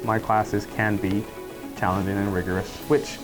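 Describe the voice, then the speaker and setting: A middle-aged man with a Barbadian accent, a professor and department chair speaking in a faculty profile in a measured, explanatory way. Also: fairly low pitch, level tone, over music, rough recording. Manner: measured, explanatory, uses "you know" and "and whatnot".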